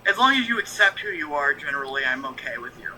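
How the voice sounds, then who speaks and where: A man's voice: on the high side, sing-song, fast talker, sharp tone, a little hiss or hum, poor audio. A man who reacts to shows on YouTube, talking frankly as a guest on a stream, rambling through his thoughts. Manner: rambling, frank, lots of fillers and restarts. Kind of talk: casual stream chat.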